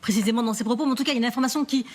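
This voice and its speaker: A woman's voice: fairly high pitch, very fast. A woman hosting a live news discussion, putting questions to her guests. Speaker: host. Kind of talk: live news panel moderation.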